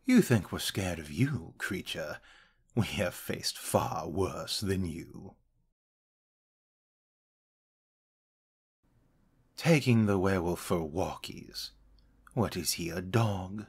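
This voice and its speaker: A man voices a contemptuous elven soldier in a fantasy audio drama. He talks slowly in a low, raspy voice with animated rises and falls. His delivery is formal, pompous and sneering. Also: heavily acted. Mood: mocking. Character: pompous, contemptuous male High Elf royal soldier.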